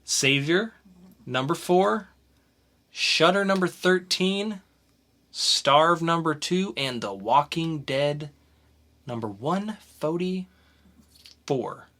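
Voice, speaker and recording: Bright-voiced male podcast host. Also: on the high side, animated, very slow, long pauses. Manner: listing items in a chatty, upbeat way. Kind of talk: casual comics podcast chat.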